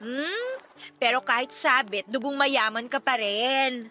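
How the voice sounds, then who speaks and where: Woman acting playful young lady in a radio love story, high bright voice, teasing but forgiving. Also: expressive, takes it slowly, full character voice. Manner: playful, Taglish-mixing speech. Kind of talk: Filipino audio drama dialogue.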